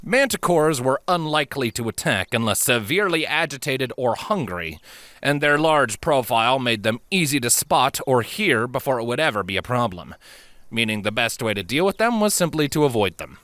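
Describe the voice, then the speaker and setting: A man reading scripted fiction as an audiobook narrator, expressive and measured in a dramatic storytelling style. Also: mid-range voice, no echo.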